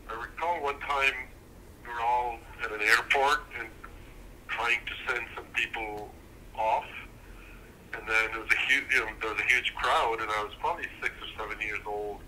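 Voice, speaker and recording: A man in his fifties from Pakistan, answering questions in a recorded phone interview, hesitant with many fillers and pauses. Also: mid-range voice, fairly even intonation, pushed and bright, slight background noise, poor audio. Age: 50s.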